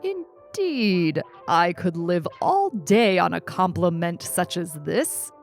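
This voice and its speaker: A woman plays a bemused old man of letters, wry and grandiose, in a storybook reading. The phrasing is ornate and unhurried, the intonation expressive, with soft music behind. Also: fairly low pitch, exaggerated voice. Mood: wry, reluctant. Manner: ornate, formal, deliberate phrasing. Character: old male American author, wry, pompous, bemused.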